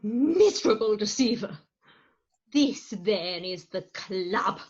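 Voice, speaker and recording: Woman acting a slow, melodramatic, indignant matron. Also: mid-range voice, expressive, slightly rough. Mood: outraged, scheming. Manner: melodramatic declamation. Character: melodramatic, indignant mother and deceived wife. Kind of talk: staged reading of Victorian farce. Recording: muffled remote call audio.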